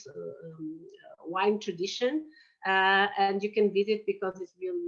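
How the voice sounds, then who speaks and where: A woman's voice: medium pitch, a bit bright, muffled recording. A woman from Portugal in her forties with a Portuguese accent, giving a lecture on oral surgery with hesitant phrasing and frequent fillers. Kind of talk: online lecture presentation.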